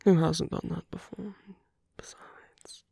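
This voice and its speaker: A woman performs a suave, sly villain for romantic ASMR, low-pitched and very breathy, often slipping into whispers. The delivery is teasing and coaxing, with a soft, mellow tone. It is intimate and flirtatious throughout. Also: steady tone, takes it slowly, no room sound, clean studio sound, heavily acted. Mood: playful, seductive.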